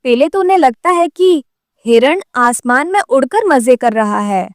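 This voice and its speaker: A woman's voice: sits high, expressive, a little slow, no echo, clean studio sound. A woman narrating a scripted children's moral story as a storyteller.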